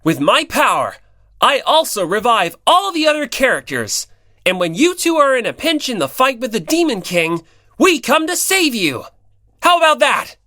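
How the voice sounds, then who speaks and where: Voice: medium pitch, big pitch swings, moderate pace, sharp tone, no room sound, studio quality, pitched above the performer's own voice. A performer voicing an earnest, easily flustered, hot-headed teenage boy in an audiobook, with blustering, emphatic delivery. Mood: earnest bravado. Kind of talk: dramatic audiobook character dialogue.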